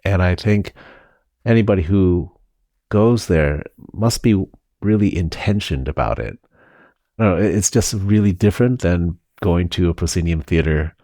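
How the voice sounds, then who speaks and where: A man with an extremely deep voice hosts a casual interview. He speaks in a friendly, easygoing way, often doubling words as he gathers his thoughts. The sound is dry and close to the mic. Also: moderate pace, mellow, dry recording. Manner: friendly, repeats words while finding them. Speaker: host.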